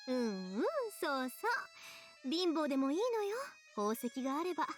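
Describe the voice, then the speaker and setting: A woman's voice: high-pitched, big pitch swings, fast talker, soft background music. An actress playing a teasing, haughty teenage girl in a visual novel, sassy and theatrical. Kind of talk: Japanese visual novel voice acting.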